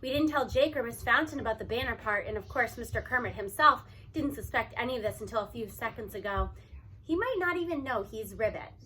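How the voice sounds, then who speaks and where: A woman reading a children's novel aloud in an expressive, animated storytelling manner. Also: a little high, brisk, a little hiss or hum. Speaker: audiobook reader.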